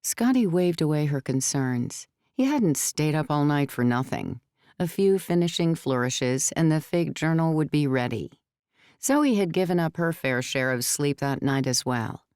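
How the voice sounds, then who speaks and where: A woman's voice: low voice, expressive, measured pace, a little mellow, smooth, dry recording, studio quality. A woman reading scripted third-person fiction narration as an audiobook narrator.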